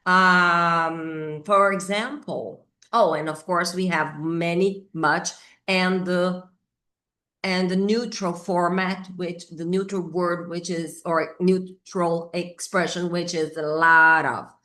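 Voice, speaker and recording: A middle-aged Brazilian woman, a native Portuguese speaker, teaches English grammar informally with a Brazilian accent, thinking aloud haltingly and repeating key words. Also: on the low side, steady tone, bright, forceful tone. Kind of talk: informal whiteboard grammar lesson.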